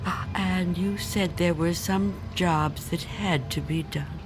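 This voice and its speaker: Scared old woman, tremulous, slow halting delivery, radio drama, music underneath. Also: fairly low pitch. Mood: wounded, subdued. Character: frightened, fragile, reclusive old woman afraid of death. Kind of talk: dramatic radio play acting.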